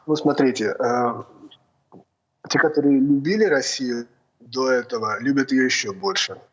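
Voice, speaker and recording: A man from North Ossetia with an Italian-tinged Russian accent, answering over a video call in a plain, relaxed, slightly halting way. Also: mid-range voice, slightly rough. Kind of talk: casual remote interview. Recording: dull lo-fi call audio.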